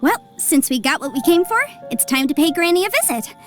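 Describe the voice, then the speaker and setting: A woman's voice: sits high, sing-song, fairly quick, faint music behind. A woman voicing a breezy, confident, articulate young legal advisor in a video game. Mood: confident, explaining. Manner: breezy, articulate, self-assured.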